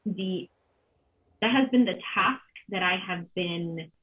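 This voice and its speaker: A woman in her thirties from Colombia speaking reflectively and haltingly as a panel moderator, with many pauses and repeated words. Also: fairly low pitch. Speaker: panel moderator, arts organization director. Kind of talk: reflective, unscripted panel remarks. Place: home kitchen, on a video call. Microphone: computer mic on a video call. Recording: dull, band-limited video call audio.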